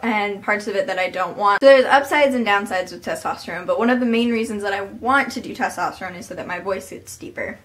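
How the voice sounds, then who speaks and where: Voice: medium pitch, bright, forceful tone. A young adult vlogger talking straight to a webcam in a small bedroom, earnest and reflective, thinking aloud with plenty of fillers. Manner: earnest and reflective, many fillers, talks with hands. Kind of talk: casual talking-to-camera vlog. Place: small bedroom with posters, indoors.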